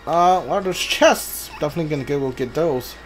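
A video game player giving casual let's play commentary, thinking aloud in a halting way. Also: medium pitch. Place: indoors, voice-over on gameplay. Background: video game music.

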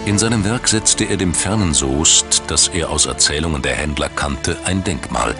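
Deep-voiced man narrating a documentary. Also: animated, gravelly. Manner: measured, dramatic storytelling. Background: background music.

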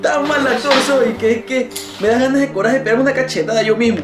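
A man's voice: very high voice, fast. Self-mocking young man in an echoey room. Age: young adult. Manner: self-mocking, embarrassed, jokey. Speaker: video creator reacting to own old videos. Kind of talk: casual reaction commentary. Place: small bedroom indoors. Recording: echoey bedroom with faint music. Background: music.

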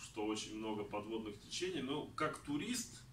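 A man in his thirties from Kazakhstan, talking about travel and answering questions in an animated, gesturing way in a small indoor room. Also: fairly low pitch, normal speed. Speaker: traveler giving a talk. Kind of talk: conversational talk answering audience questions. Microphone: far camera. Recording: roomy, distant, faint background noise.

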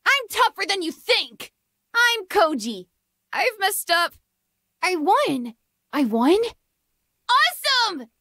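A woman's voice: very high voice, sing-song, takes it slowly, pauses often, bright, forceful tone, no room sound, studio quality, heavily acted. A woman voicing an eager, plucky young boy puppeteer warrior for a video game, with childlike exclamations. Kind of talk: video game character voice lines.

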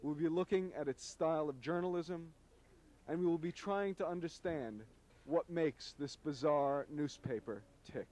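A middle-aged man delivering scripted commentary to camera on a city street, speaking in solemn, measured, emphatic phrases into a handheld microphone. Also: a little high, mellow. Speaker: host, media critic. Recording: noisy old videotape street recording.

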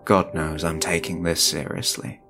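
A man's voice: sits low, little pitch movement, normal speed, over music. A man reading a scripted first-person horror story as its narrator, in the role of a sociopathic father. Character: sociopathic father telling his own story.

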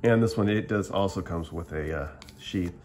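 Low-voiced man casually showing knives. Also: normal speed, darkish tone, a little hiss or hum. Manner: rambling, plainspoken, restarts and trails off. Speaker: product reviewer. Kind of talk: casual show-and-tell review. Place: indoors at a table, filming the tabletop.

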